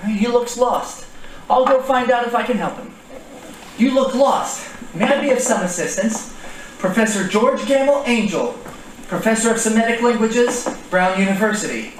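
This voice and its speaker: High-voiced courteous male professor played by an actor. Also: lively intonation, normal speed. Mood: genial, polite. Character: George Angel, courteous, inquisitive male professor of languages. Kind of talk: stage radio-drama acting. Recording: echoey distant stage, faint noise.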